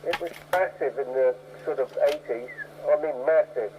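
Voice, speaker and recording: A man's voice: mid-range voice, normal speed. A man chatting casually over CB radio, talkative and given to repeating phrases for emphasis. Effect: CB radio transmission. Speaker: CB radio operator. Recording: tinny narrowband CB radio, clear static noise.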